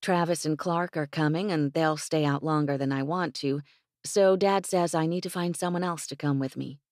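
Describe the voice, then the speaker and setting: A woman's voice: low-pitched, moderate pace, a little mellow, dry recording, studio quality, lightly acted. A woman voicing a spirited young ranch woman with a teasing, bossy drawl, read in an audiobook. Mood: playful.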